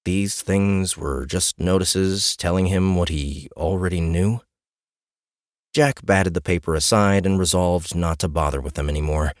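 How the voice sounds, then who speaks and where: A man with a deep, low voice narrates a fantasy audiobook. The sound is dry, with no room around it. He reads prepared prose as a storyteller. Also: unhurried, dry recording.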